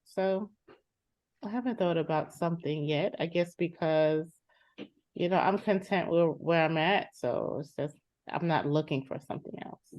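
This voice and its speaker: A woman in her thirties playing a practical homebody older sister in a therapy role-play, halting and reflective. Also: low voice, a little mellow, no echo, lightly acted. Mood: reflective, unsure. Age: 30s.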